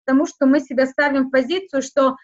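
A woman whose first language is not Russian, speaking haltingly in a casual interview, with many fillers and restarts. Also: high-pitched, steady tone, lo-fi sound. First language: not Russian; schooled outside Russian. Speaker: interview guest.

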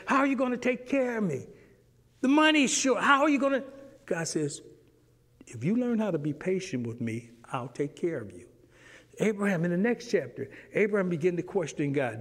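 A middle-aged man teaching a Sunday school lesson in a church sanctuary, preaching with animation and acting out exchanges with God, swinging between loud and hushed. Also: high voice, in a large hall. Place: church sanctuary, standing before a pulpit.

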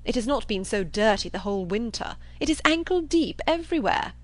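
Lady doing a brash young gent from a storybook, loud and bossy, swoops up and down, pushy. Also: fairly high pitch, animated, brisk, no echo, close to an everyday voice. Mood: emphatic. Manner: blustering, emphatic exclamations. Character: loud, brash, boastful young man, overbearing and impatient.

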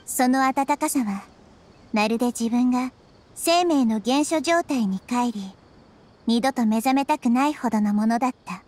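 A woman voicing a gentle, wise child-like girl deity in a Japanese anime game, measured and reflective. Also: on the high side. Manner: measured, soft-spoken, reflective.

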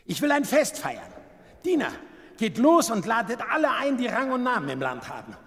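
A man's voice: very high-pitched, wildly swooping pitch, normal speed, a bit bright, heavily acted. An actor playing a troubled, volatile king in a theatrical, commanding manner in a Bible audio drama. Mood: commanding, excited. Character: troubled, volatile king, swinging from anguish to booming festivity.

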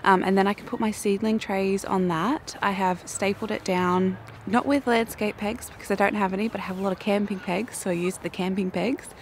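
A young woman with an Australian accent and a croaky morning voice, chatting casually and self-mockingly to camera in her backyard garden. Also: medium pitch, steady tone, a little fast, few pauses, a little hiss or hum. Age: young adult. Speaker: flower farming vlogger. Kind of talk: casual vlog talk to camera.

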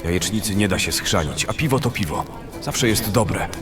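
A man's voice: low voice, expressive, normal speed, over music. A man playing a brash, greedy fantasy adventurer in an audio drama, talking in a coarse, boastful, grumbling way. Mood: casual.

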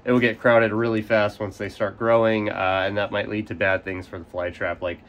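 A man's voice: fairly low pitch, moderate pace. A young man with a slightly nasal voice from a cold, casually explaining how he divides and repots plants, recorded outdoors by an overhead camera. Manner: relaxed, explanatory, casual with fillers. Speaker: carnivorous plant grower. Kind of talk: hands-on gardening demonstration. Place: outdoors in sunlight at a potting table. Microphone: camera overhead, speaker off frame.

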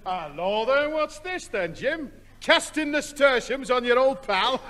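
A man's voice: very high-pitched, animated, a little slow, bright, forceful tone, a little hiss or hum, exaggerated voice. An actor playing a daft, chummy neighbour with a Liverpool accent, matey and muddling his words, in radio comedy. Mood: breezy. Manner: matey, malapropism-prone. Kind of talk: 1960s radio comedy acting.

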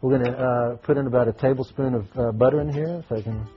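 A middle-aged man chef talks his way through a recipe on a TV studio set, clipped to a lapel mic. He speaks at an average pitch in a relaxed, folksy way, filling gaps with "uh" as he cooks. The broadcast audio is a little dull, with faint music behind. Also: fast. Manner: folksy, talks while cooking with frequent fillers. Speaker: restaurant chef. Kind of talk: live TV cooking demo.

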